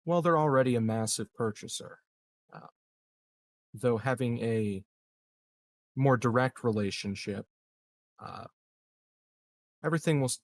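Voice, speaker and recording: Man playing a measured, evasive colony politician. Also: medium pitch, gravelly, no room sound. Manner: hesitant, hedging, measured politician's talk. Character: smooth, evasive, scheming male colony leader in science fiction. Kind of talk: improvised tabletop roleplay.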